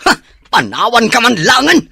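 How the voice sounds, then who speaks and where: An actor playing a surly, irresponsible gambling husband in a radio drama, with a husky voice and gruff, accusing manner. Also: male voice, extremely high pitch, wildly swooping pitch, moderate pace, sharp tone, full character voice.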